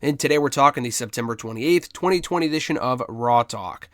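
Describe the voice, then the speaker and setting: A man's voice: medium pitch, brisk, a bit bright, no echo, studio quality. A congested man with a cold, giving a blunt, opinionated review with frequent ums and the odd swear word. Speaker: wrestling review podcaster. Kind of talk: casual solo review commentary.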